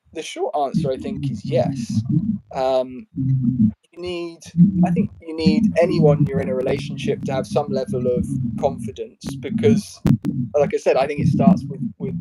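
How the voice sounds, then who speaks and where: A British man co-hosting a casual chat, measured and reflective, thinking aloud. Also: on the high side, noisy background. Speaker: co-host.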